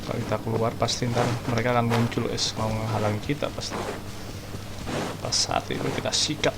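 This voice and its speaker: A man's voice: mid-range voice, little pitch movement, fast, gravelly, very noisy. A man from Indonesia chatting casually while playing a game, explaining the story to viewers. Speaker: gaming streamer. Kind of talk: casual let's-play commentary. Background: game music.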